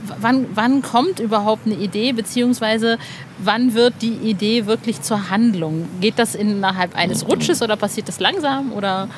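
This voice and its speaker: Woman, podcast interviewer, informal and enthusiastic, mid-pitched, outdoor noise behind her. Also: moderate pace. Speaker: podcast host. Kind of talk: excited podcast interview and chat. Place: outdoors in a cemetery. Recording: clear outdoor background noise.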